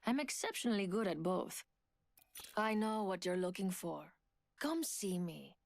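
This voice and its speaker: An actress playing a poised, confident, seductive thief in a video game, with cool, teasing delivery. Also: female voice, on the low side, creaky. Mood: cool challenge. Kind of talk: video game character voice lines.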